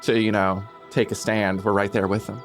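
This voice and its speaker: Man playing a low-voiced, sheepish bandit son. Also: level tone, normal speed, faint music behind, natural voice. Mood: sheepish, loyal. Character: loyal young bandit son of the town priest.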